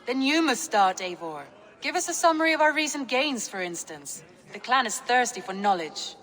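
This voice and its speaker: A woman's voice: on the high side, sing-song, moderate pace, a bit bright. An actress playing a learned young Viking woman in a video game, polite and scholarly. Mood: teasing, encouraging. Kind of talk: video game cutscene acting. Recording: reverberant hall with music behind.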